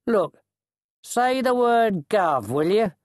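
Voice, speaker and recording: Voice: medium pitch, big pitch swings, takes it slowly, no echo, voice pushed up. A narrator voicing a suspicious, blunt male ostler in an audiobook, talking colloquially.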